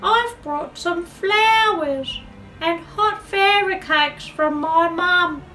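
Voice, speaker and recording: Woman playing a high-voiced, polite little girl. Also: drawn-out words, sharp tone, far from the mic, faint music behind, full character voice, poor audio. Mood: polite, hopeful. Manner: polite, eager. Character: polite, plucky little girl asking for her ball.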